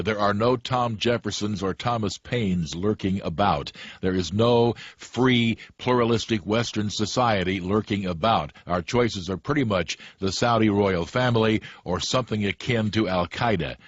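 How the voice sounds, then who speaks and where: A man hosting a call-in radio talk show, speaking in a dry, opinionated, measured way. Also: on the low side, no room sound. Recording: dull dry studio radio sound.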